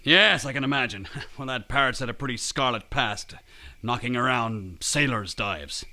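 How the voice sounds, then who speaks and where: A man playing a gruff, brusque ranch boss in an audio drama set in the 1930s. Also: mid-range voice, moderate pace, pushed and bright. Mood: hearty. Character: gruff ageing American ranch-owning boss.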